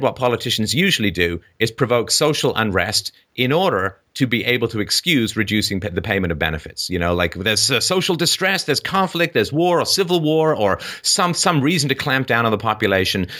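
A man's voice: medium pitch, expressive, brisk, pushed and bright. A middle-aged man from England speaking as an interview host, giving expansive, emphatic explanations in a conversational political monologue. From born in Ireland, grew up in London, England.

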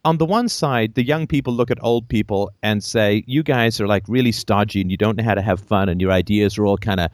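A man's voice: on the low side, fairly quick, few pauses, no echo, studio quality. Emphatic male call-in show host. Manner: lively, emphatic, repeats phrases for effect.

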